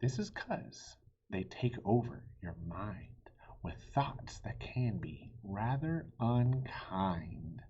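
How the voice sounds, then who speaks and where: A man reading a children's story aloud in a theatrical way, with a breathy, husky voice that dips into hushed tones. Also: fairly low pitch, sing-song, slow, dull audio. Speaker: children's book reader.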